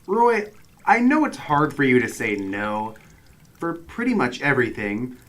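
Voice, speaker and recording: An actor playing the exasperated, sarcastic voice of reason in a hero's head in a comedic radio drama. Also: male voice, mid-range voice, very animated, a little slow, fairly bright tone. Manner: sarcastic, wry, worrying. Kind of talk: comedic sci-fi radio drama narration and dialogue. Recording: clear background noise.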